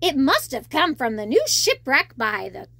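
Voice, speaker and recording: A woman's voice: high-pitched, very animated, normal speed, creaky, sharp tone, no echo, full character voice. A woman voicing a chatty male seagull in a children's storybook, casually showing off. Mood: eager. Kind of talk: children's storybook read-aloud.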